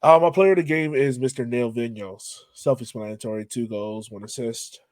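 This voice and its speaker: A man's voice: mid-range voice, moderate pace, darkish tone, no room sound. A man co-hosting a soccer fan podcast, talking casually and informally about games in an upbeat way. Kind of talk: casual game-analysis chat.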